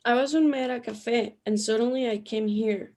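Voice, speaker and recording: A woman playing a serene otherworldly companion in a radio play, measured and solemn. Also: on the high side, fairly even intonation, warm tone, lightly acted.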